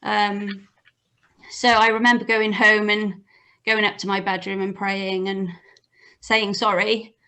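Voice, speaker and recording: A young woman from Wales shares personal memories as an interview guest. She speaks slowly and gently, with hesitant gaps, at a medium pitch over a video call. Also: level tone. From Cardiff, Wales. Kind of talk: casual personal storytelling. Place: small home room with bookshelves, on a video call. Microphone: computer mic on video call.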